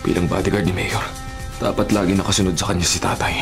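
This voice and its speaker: A man's voice: sits low, level tone, quick pace, music in the background. A man acting a serious, firm young bodyguard in a Filipino radio drama. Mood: puzzled. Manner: earnest, firm. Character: serious, protective, dutiful young male bodyguard.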